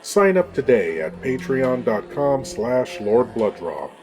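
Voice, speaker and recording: Slow, cavernous male horror host. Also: medium pitch, big pitch swings. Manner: ominous, deliberate, dramatic pauses. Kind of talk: theatrical scripted horror-host narration. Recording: long hall reverb, faint music behind.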